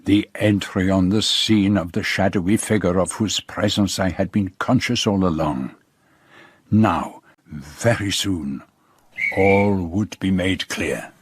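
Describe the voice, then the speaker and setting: A foreign gentleman detective in a radio drama. He is courteous and self-assured, with formal, precise, measured phrasing and a shrewd, probing manner. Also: low-pitched, breathy edge, heavily acted. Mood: reflective. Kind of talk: radio drama acting and first-person narration.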